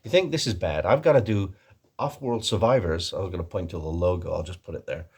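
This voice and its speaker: A low, raspy man doing an American male vlogger voice. He rambles in a casual, hesitant way and pokes fun at himself as he presents to his viewers. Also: fast. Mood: self-mocking, chatty. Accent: put-on American. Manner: rambling, self-deprecating, hesitant. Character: chatty male American video presenter, self-mocking and rambling. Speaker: video host and actor. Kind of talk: casual vlog commentary.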